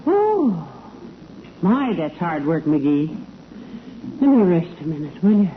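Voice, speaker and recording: Wry teasing wife, tinny sound. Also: female voice, fairly low pitch, sing-song, slow pace. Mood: dry, teasing. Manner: dry, teasing. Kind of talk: 1940s-50s radio comedy acting. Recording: tinny hissy old radio recording.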